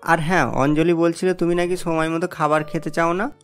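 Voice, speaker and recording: A man's voice: a little high, level tone, brisk, faint music behind, close to an everyday voice. A man voicing an irritable, scolding grown son and husband in a cartoon, curt and impatient. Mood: irritated. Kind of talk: cartoon story voice acting.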